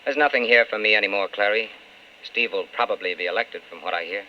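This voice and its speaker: A muffled, faintly hissy old radio broadcast features an actor as a principled, wistful man. His voice is lowish and clear-edged, and he speaks in an earnest, measured, articulate way, like a romantic lead in a vintage drama. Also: natural voice. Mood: resigned. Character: principled, wistful man in love with a friend's wife.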